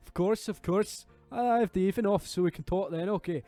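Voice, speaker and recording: A man's voice: sits high, brisk, mellow, no echo, faint music behind, pitched above the performer's own voice, lightly acted. A man voicing a fussing, affectionate middle-aged Scottish mother in a visual novel, chiding and doting.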